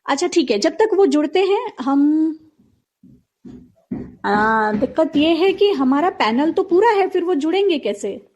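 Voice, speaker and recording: A woman's voice: high voice, lively intonation, measured pace, a little mellow. A woman hosting a casual live talk show, chatting directly with her co-host and listeners.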